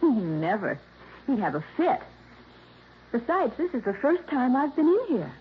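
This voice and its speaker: An actress in her twenties playing a resentful, sharp-tongued young woman in a 1950s radio drama. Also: a little high, very animated, measured pace. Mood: nervous. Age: 20s. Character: bitter young woman, niece cut from will. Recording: tinny old radio broadcast, faint hiss.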